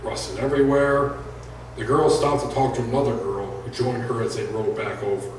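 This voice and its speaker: A man's voice: fairly even intonation, distant mic, a little hiss or hum. A man reading aloud in an echoey room. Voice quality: deep voice. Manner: plain unhurried reading with joking asides to the audience. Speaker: author reading his own book. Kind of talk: live reading of prose fiction. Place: indoor live reading event.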